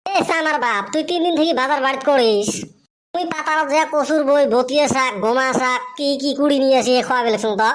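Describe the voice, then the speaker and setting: A woman's voice: high voice, quick pace, lightly acted. A woman voicing a cheeky, complaining village youngster who talks back in a comic cartoon. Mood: sarcastic.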